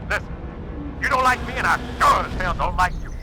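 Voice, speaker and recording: Game soldier: a blunt, feisty trooper, high raised voice over roaring noise. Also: male voice, expressive, brisk. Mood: blunt, rallying. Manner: blunt, forceful. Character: gruff, blunt human soldier, feisty and bold. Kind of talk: dramatic video game cutscene acting. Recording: very loud vehicle-like background noise.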